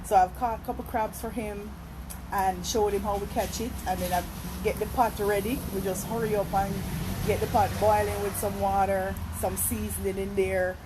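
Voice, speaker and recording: A Jamaican woman talks into her phone on a live stream at a seafood restaurant. Her voice sits at an ordinary middle pitch, and she is chatty, tells stories and stops often to greet viewers. A faint background noise stays under her voice the whole time. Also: level tone, moderate pace. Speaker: vlogger. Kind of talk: casual live-stream chat.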